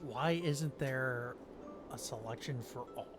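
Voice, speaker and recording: A man's voice: mid-range voice, slow. Man streaming a game over music. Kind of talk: casual live playthrough commentary.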